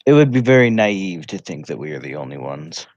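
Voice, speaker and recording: A man talking casually with friends on a livestream, halting and full of fillers. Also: sits low, sing-song, darkish tone, no room sound, lo-fi sound. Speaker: livestream gamer. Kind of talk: casual chat.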